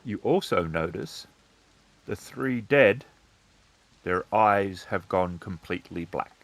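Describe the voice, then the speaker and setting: A man narrating as storyteller of a tabletop game, measured and matter-of-fact. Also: fairly low pitch, lively intonation, low-quality recording.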